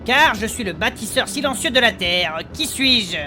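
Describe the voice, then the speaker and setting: A man's voice: extremely high pitch, animated, normal speed, over music. A man playing an eager, curious adventurer in a comic fantasy audio drama, casual, slangy and a little hesitant. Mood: reading out, intent. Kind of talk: French fantasy comedy audio fiction acting.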